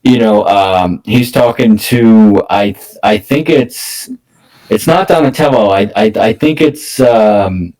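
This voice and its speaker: A man co-hosting a podcast in casual discussion, talking haltingly and repeating words as he searches for thoughts. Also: fairly low pitch, darkish tone, rough recording. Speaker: podcast host.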